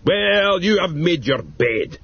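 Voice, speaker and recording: A man voicing a stubborn, gaunt ninety-year-old husband who speaks in a booming, gruff, drawn-out way. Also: animated, dry recording. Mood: furious. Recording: dull lo-fi recording.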